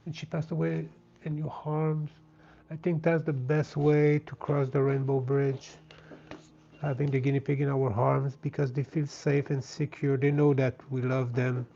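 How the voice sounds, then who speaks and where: Mellow French Canadian man, dull lo-fi sound. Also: medium pitch, little pitch movement, measured pace, gravelly, slight background noise. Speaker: live stream host. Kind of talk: casual live craft chat.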